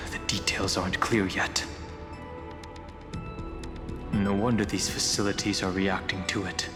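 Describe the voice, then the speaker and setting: A man playing a calm, analytical, guarded young man in an anime video game, speaking in a measured, serious, protective way. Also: sits low. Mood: pensive, analytical. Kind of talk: English-dubbed anime video game acting. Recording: long hall reverb under clear music.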